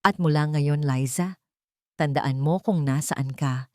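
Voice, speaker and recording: A woman's voice: low voice, takes it slowly, dark tone, dry recording, studio quality. A woman voicing a stern, emotional wealthy young man in an audio romance story. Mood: angry, cold. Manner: emphatic, commanding, emotional.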